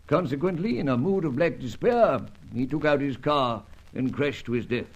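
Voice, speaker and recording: An actor playing an older, hearty British secret service chief with plummy, clubby speech, in an old-fashioned radio serial style. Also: male voice, medium pitch, expressive, normal speed, muffled recording, lightly acted. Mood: grave.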